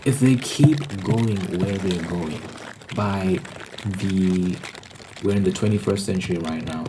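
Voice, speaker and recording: A man's voice: sits low, slow, background noise. A man giving a casual, rambling monologue as a vlogger, repeating phrases for emphasis. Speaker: ASMR vlogger.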